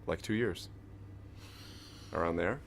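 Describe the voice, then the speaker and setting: A man's voice: low-pitched, slow, slight background noise. An actor playing a boyish, sheepish young man on the run in a naturalistic audio drama, casually protesting in a good-natured, defensive way.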